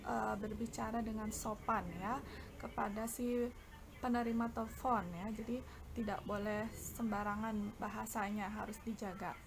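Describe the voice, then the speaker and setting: A woman's voice: a little high, rough recording. A woman with an Indonesian accent explaining a bilingual lesson as an English teacher, often stretching out her words. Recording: clear background noise.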